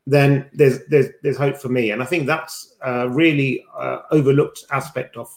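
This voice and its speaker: A man hosts a podcast in a casual, chatty way, with a wry streak. His mid-pitched voice rings in an echoey room. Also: fairly even intonation, moderate pace, a little mellow. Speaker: podcast host.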